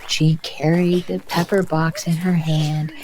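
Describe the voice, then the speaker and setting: Bedtime storyteller, female, low register, very unhurried, soothing scripted narration. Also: level tone, a crowd in the background, low-quality recording. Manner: soothing, measured.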